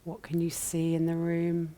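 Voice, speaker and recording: A woman leading a guided meditation as a teacher, speaking gently and soothingly in short phrases with long pauses, her voice soft and at times breathy. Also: lowish voice, monotone. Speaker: yoga nidra meditation teacher.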